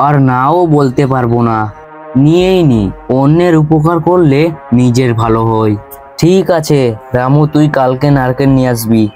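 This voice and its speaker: A man voicing a kind, trusting, humble younger brother from a village in an animated folk tale, earnest and mild. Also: medium pitch, natural voice. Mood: hesitant, kind.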